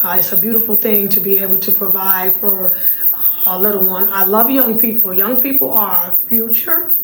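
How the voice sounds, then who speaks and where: Raspy-voiced woman vlogger in an echoey room. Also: mid-range voice, fairly even intonation, moderate pace. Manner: chatty, repeats words for emphasis, laughs often. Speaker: channel host, surplus funds recovery business owner. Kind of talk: casual talking-to-camera vlog. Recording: roomy echo with faint room noise.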